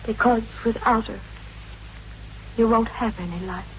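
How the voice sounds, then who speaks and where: A bitter, distraught wife in a vintage radio drama. Her voice sits fairly high as she pleads and repeats herself broodingly. The old recording is muffled, with some noise. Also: fairly even intonation, measured pace, fairly bright tone. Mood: weary, bitter. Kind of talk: 1950s radio drama acting.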